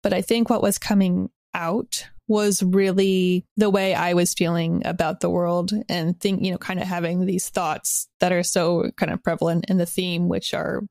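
A woman's voice: on the low side, level tone, moderate pace, gravelly, hardly any pauses, a little mellow, dry recording, clean studio sound. A woman speaking as a podcast guest in casual interview conversation, reflective and rambling, with a self-deprecating way of talking. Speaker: podcast guest, audio drama creator.

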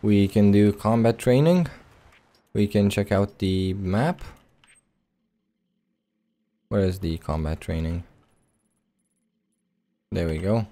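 A man's voice: lowish voice, slow, pauses often, dark tone. A man giving casual, informal gameplay commentary as a let's play host, a little self-deprecating.

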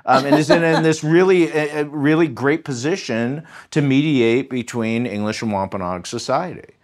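A man's voice: medium pitch, normal speed. A man speaking as a history professor in an interview, explaining in an emphatic, articulate, scholarly way. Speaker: history professor, podcast guest.

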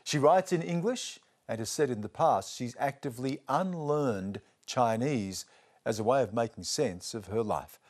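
A man's voice: medium pitch, animated, moderate pace, darkish tone. A middle-aged man anchoring a news program, introducing stories and an interview with serious authority. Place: studio.

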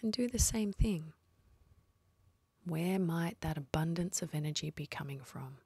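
A woman leading a guided meditation in a soft, husky, breathy voice, speaking slowly and soothingly with long pauses. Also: low voice, expressive, no room sound.

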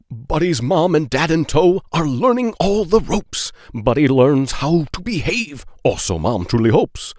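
Male narrator for children's rhymes. Playful and expressive, big pitch swings, measured pace, very dry sound. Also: no echo. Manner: playful, deliberate, sing-song delivery of rhyming verse. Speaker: children's book read-aloud narrator. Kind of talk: expressive children's poetry storybook reading.

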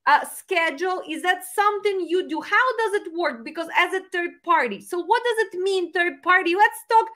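Middle-aged woman host, non-native English speaker, emphatic and animated, high sharp voice. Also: normal speed, few pauses. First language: not English. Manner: lively, emphatic, animated hand gestures. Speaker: host and dispatch trainer. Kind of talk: conversational interview. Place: home room indoors. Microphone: video call webcam.

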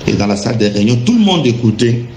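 A man telling a religious testimony in deliberate, emphatic, halting phrases. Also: medium pitch, big pitch swings, mellow. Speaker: guest giving a religious testimony. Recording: echoey room with faint background noise.

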